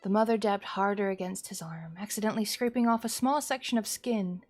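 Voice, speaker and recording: A woman streamer reading a horror visual novel aloud as expressive narration. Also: mid-range voice, a little fast. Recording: music behind the voice. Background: music.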